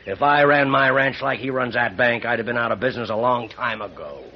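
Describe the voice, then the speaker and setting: An actor playing a bitter, greedy older rancher with an American accent and a folksy, needling drawl in a radio western. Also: male voice, medium pitch, a little fast, natural voice. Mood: suspicious, greedy. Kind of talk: old-time radio western acting. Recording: muffled, noisy old radio recording.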